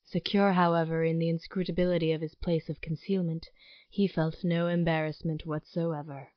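A woman's voice: deep voice, little pitch movement, moderate pace, no echo, highs cut off. A woman narrator reading scripted material for an audio drama podcast. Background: prominent music.

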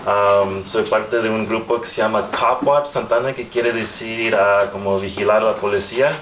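A man in his thirties with an American accent, a native English speaker, giving an informal panel talk and haltingly searching for words. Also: on the low side, steady tone, fairly bright tone. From Southern California, United States. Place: small room with hard walls, indoors. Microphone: far camera microphone. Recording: distant mic, echoey room, faint noise.

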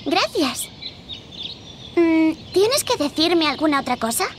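A woman's voice: extremely high pitch, very animated, slow, noisy background, exaggerated voice. An actress playing an innocent little princess girl, polite and full of wonder, in a video game. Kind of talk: animated game character dialogue.

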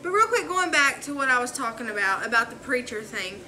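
A woman's voice: a little high, brisk, music in the background. A young woman with a Southern accent, chatting earnestly about faith while folding laundry at home, talking with her hands. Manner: earnest, preachy and animated with hand gestures. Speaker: vlogger and preacher. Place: living room at home. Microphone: camera on the floor in front of her.

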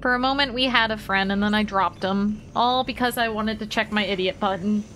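A woman's voice: medium pitch, fairly even intonation, a little fast, fairly bright tone, a little hiss or hum, poor audio. A young woman streaming a game, chatting playfully with her chat as she plays. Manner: playful, chatty, talks to chat while playing. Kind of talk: casual gameplay commentary to chat.

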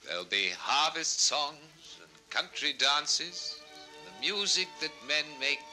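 An actor playing a dreamy, impassioned composer who loves nature, theatrical and deliberate, on a 1960s children's record. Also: male voice, fairly high pitch, sing-song, over music, rough recording. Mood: dreamy, rapt. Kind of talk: 1960s children's record radio-play acting.

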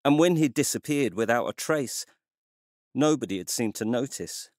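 A man narrating a literary story in a measured, scripted way. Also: medium pitch, lively intonation, darkish tone, no room sound, clean studio sound.

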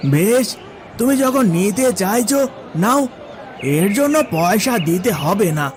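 High-pitched, blunt village fishmonger. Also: male voice, lively intonation, takes it slowly. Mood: relenting. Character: blunt but soft-hearted village fish seller. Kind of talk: animated fairy tale acting.

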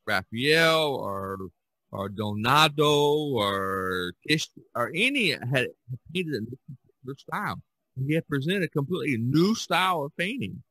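A man's voice: mid-range voice, sharp tone, dry recording, rough recording. A man hosting a casual podcast discussion, rambling and often searching for his words. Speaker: podcast host. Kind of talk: casual discussion of art history.

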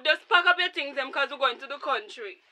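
A woman's voice: high voice, expressive, fairly quick, over a noisy crowd. A woman playing a hurt, indignant wife and firm mother in a radio drama, with a Jamaican accent. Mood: subdued, firm.

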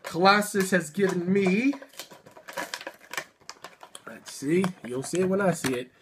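Man in his thirties, slightly high voice, upbeat unboxing chat at a webcam, faint room hiss. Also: lively intonation. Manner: upbeat, chatty, quick little laughs. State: a bit sick, sniffling. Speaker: toy unboxing vlogger. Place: indoor room full of collectibles.

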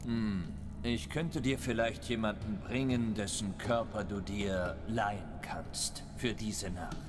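Gruff monster hunter, terse, dry, game dub, low voice, reverberant. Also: male voice, slow pace. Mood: curt. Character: gruff grizzled monster hunter, middle-aged man, dry and curt. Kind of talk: video game dub acting. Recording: reverberant with music underneath.

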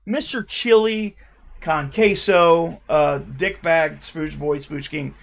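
A man in his thirties reading fan comments aloud on his channel, rambling and swearing, with a stutter he mentions himself, recorded by a webcam in a dark room at home. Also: on the high side, slow pace, vocal fry. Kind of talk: casual reaction commentary.

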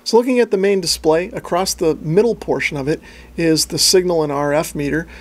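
A man's voice: fairly high pitch, a little fast. A middle-aged man presenting a product overview and demonstration in a methodical, plain-spoken way. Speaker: radio reviewer and presenter.

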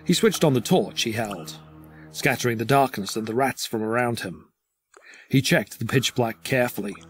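A man's voice: mid-range voice, moderate pace. Male horror narrator over music. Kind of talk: scripted horror audio drama narration.

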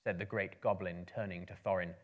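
Low-pitched male dramatic storyteller. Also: steady tone, dull audio. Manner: theatrical, measured, emphatic phrasing. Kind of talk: dramatic book reading to a live audience. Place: room lined with books, indoors.

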